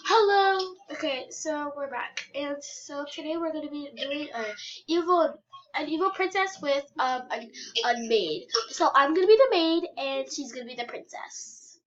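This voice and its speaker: A woman's voice: sits high, normal speed. A young girl casually hosting a game roleplay video, recorded on a cheap home microphone. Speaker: video host and player. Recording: dull, hissy home microphone.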